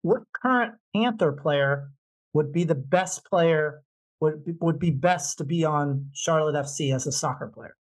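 A man's voice: a little high, unhurried, poor audio. A man with an American accent interviewing a guest casually as podcast host, informal, agreeable and encouraging.

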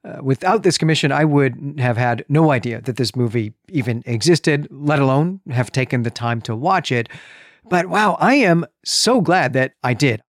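A man's voice: medium pitch, lively intonation, normal speed, no room sound, clean studio sound. A man co-hosting a podcast in an earnest, emphatic, conversational style. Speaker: podcast co-host. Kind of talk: conversational film discussion and plot synopsis.

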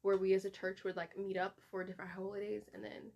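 Woman, young adult, middle pitch, casual chatty guest, fillers. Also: little pitch movement, fast talker, creaky, a little mellow, highs cut off. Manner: casual, lots of "like" and "um". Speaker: podcast guest. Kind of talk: casual interview chat.

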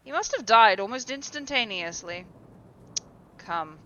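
A woman voicing a cynical aristocratic gentleman who talks in an airy, drawling, dismissive way. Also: fairly high pitch, lively intonation, measured pace, lo-fi sound. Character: languid, cynical older male aristocrat.

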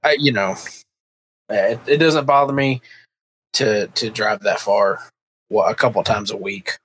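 A man in his thirties from Georgia, talking casually in a hesitant, halting way. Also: fairly low pitch, steady tone, fairly bright tone, slight background noise, poor audio. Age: 30s. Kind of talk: casual chat.

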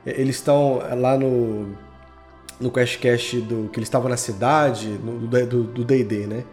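A man's voice: mid-range voice, steady tone, measured pace, a little mellow, soft background music. A Brazilian man in a casual interview chat, talking as a podcast guest in an enthusiastic, slangy, rambling way. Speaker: podcast guest, audio drama creator and editor.

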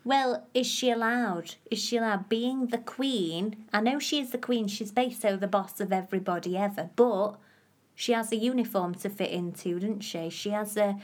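A woman's voice: medium pitch, moderate pace, a little mellow. A woman co-hosting a podcast in casual chat, teasing her partner playfully. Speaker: podcast co-host.

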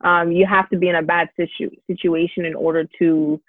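A woman's voice: fairly low pitch, flat delivery, fairly quick, dry recording. A woman, a success coach, answering interview questions earnestly and upbeat, with lots of fillers. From Canada. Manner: earnest, upbeat, many "um" and "you know" fillers. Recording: tinny phone-like line.

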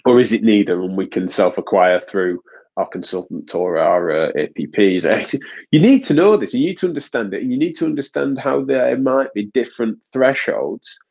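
A man's voice: lowish voice, animated, normal speed, gravelly, darkish tone. A man hosting a podcast, a physiotherapist talking casually in a nasal, stuffed-up voice, rambling with frequent restarts. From United Kingdom. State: congested, stuffy nose. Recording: dull lo-fi recording.

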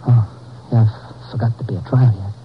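An actor playing a nervous, pleading small-time American convict, stammering and halting, in 1950s radio drama style. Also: male voice, fairly low pitch, steady tone, slight background noise, natural voice. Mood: anxious, pleading. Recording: muffled old 1950s radio recording.

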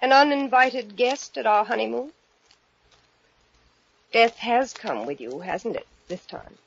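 An actress playing a cold, vengeful murderous wife in an old radio thriller, with a mocking, controlled and deliberate delivery. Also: female voice, on the high side, animated, vocal fry. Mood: cool, probing. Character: cold, vengeful wife coolly taunting the husband she plans to murder. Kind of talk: 1940s radio thriller acting. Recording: muffled, noisy old radio recording with clicks.

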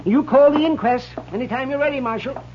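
An actor playing a fussy, garrulous frontier town doctor in a 1950s radio western, folksy and hemming. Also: male voice, very high voice, fast. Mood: matter-of-fact, chatty. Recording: muffled, hissy old radio recording.

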